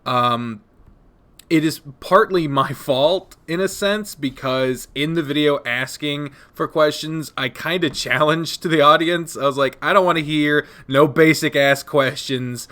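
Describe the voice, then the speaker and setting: A man hosting a casual interview, excitable and loose, swearing freely and laughing often. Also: fairly high pitch, a little slow. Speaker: interview host.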